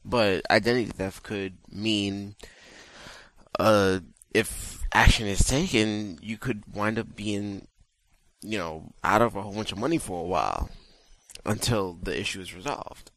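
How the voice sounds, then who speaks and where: A laid-back man with a fairly low voice talks through gaming news on a podcast, pausing on uhs and dropping the odd swear word. The sound is close and dry, with no room around it. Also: a bit bright, dry recording. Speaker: podcast co-host. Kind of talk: casual gaming chat.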